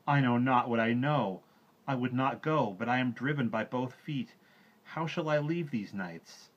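A man voicing an emotional young man raised by wolves, speaking in a raised, pleading, higher voice. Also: steady tone, normal speed, lo-fi sound, close to an everyday voice. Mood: anguished.

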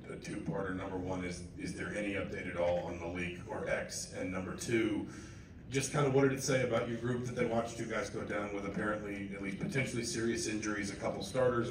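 Low-voiced reporter, far from the mic. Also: male voice. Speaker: sports reporter. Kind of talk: press conference question. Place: indoor press conference room. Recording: distant echoey room with rumbling noise.

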